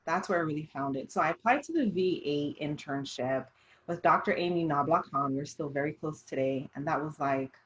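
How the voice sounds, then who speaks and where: A woman's voice: sits low, brisk, lo-fi sound. Earnest woman with a slight Jamaican accent. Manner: earnest, storytelling, frequent fillers. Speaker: dietitian, host. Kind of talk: casual conversational discussion.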